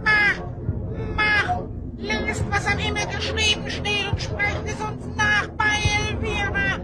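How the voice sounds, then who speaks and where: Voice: very high-pitched, completely flat delivery, slow, loud music behind the voice. An actor playing a young companion of a fantasy hero, emphatic and declamatory, in a German audio play. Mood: rallying. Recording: noisy crowd behind.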